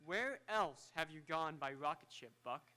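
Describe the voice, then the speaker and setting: A man acting as a proud scientist of vintage radio theatre, easily excited by his own inventions. His voice sits a little high and rings bright and forceful. He explains things in fussy, precise technical terms. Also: moderate pace, clean tone. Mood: flustered, pleased. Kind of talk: old-time radio drama acting. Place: live on an auditorium stage.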